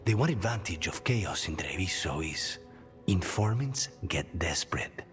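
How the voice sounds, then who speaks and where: Controlled assassin, deep voice, dry, intense, vengeful, fantasy game. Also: male voice, expressive, a little slow, soft background music. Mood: cool resolve. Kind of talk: cinematic fantasy game dialogue.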